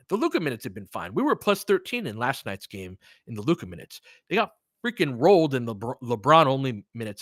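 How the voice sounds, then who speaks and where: A man's voice: medium pitch, fairly bright tone, no room sound, clean studio sound. A man hosting a live play-by-play stream, giving casual, conversational sports commentary and analysis as he chats with listeners, with the odd mild swear. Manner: conversational, chatting back to listeners, mildly profane.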